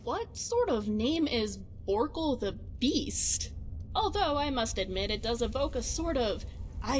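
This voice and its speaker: Fantasy game companion , female actor: young, high-pitched, very expressive, wordy, wry, excitable. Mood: wry, curious. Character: excitable, quirky young female adventuring companion, fretful and chatty.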